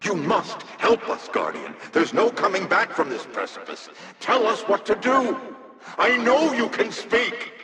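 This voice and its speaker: An actor playing an imperious, desperate alien seeker, speaking with formal, commanding passion in a video game audio log. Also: male voice, high-pitched, very animated, measured pace, noisy background. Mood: angry pleading. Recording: echoey hall with long reverb.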